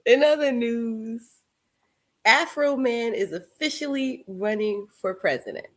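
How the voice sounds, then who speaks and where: A woman's voice: fairly high pitch, measured pace, fairly bright tone. A woman from New York with a New York accent, co-hosting a morning show, joking around and reading news items aloud. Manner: laughing, playful, reads news items aloud. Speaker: co-host.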